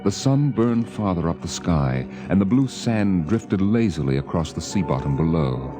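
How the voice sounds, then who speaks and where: An actor as a solemn, poetic storyteller narrating a scripted radio drama. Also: male voice, sits low, animated, normal speed. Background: dramatic music.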